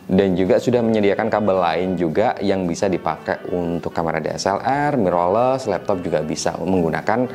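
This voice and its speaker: A man with a stuffy, nasal voice from a cold, explaining microphone setup in a relaxed, chatty tutorial. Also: low-pitched, fairly quick. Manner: relaxed, chatty, frequent tag questions. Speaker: tech reviewer teaching mic setup.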